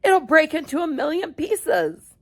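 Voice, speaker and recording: A woman voicing an excitable old grandfather who shouts in a shrill, panicky way. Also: unhurried, exaggerated voice. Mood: panicked.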